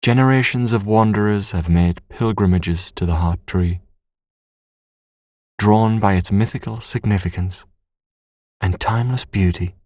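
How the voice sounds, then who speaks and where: A man narrating a scripted sleep story in a soothing, measured, hushed bedtime manner. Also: lowish voice, no room sound, studio quality.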